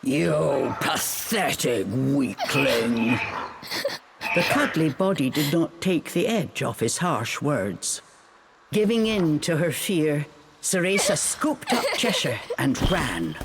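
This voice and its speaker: Slow, dramatic female storybook narrator. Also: low voice, very animated, noisy background.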